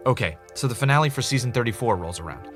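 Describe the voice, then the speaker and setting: A man's voice: medium pitch, expressive, fast, soft background music, lightly acted. A man playing an anxious, obsessive fan in a fiction podcast, telling the story in an earnest, rambling way. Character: obsessive, anxious male fan investigating a cancelled show. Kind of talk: fiction podcast narration, conversational.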